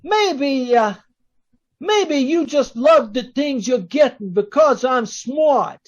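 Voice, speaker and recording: Lady acting a bossy slangy crook from an old radio play, high voice, slow. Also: animated, a little mellow, muffled recording, heavily acted. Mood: cocky, bossy. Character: tough, cocky small-time crook, bossing a sweetheart.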